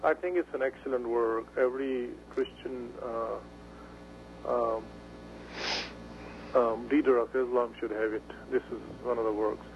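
Gravelly man, halting speech. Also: mid-range voice, fairly even intonation. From Pashtun area of Pakistan. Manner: halting, many fillers and restarts. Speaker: guest. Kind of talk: radio interview. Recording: dull radio broadcast with faint hum.

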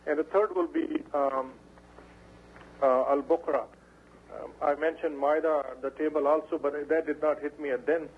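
A man, a guest in a radio interview, talking in halting phrases with many fillers and restarts. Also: fairly high pitch, fairly even intonation. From Pashtun area of Pakistan. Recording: dull radio broadcast with faint hum.

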